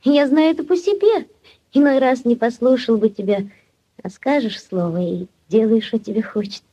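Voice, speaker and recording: A woman's voice: on the high side, dark tone, husky. An actress playing a dreamy, coaxing young village sweetheart, tender and girlish, in a 1940s radio play. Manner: tender, girlish, drawn-out delivery. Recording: muffled 1940s radio recording, music behind.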